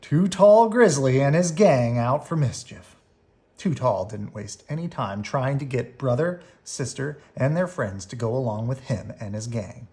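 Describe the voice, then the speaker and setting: A woman reading a children's bedtime story aloud in expressive narration. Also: rumbling low, unhurried. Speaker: storybook reader.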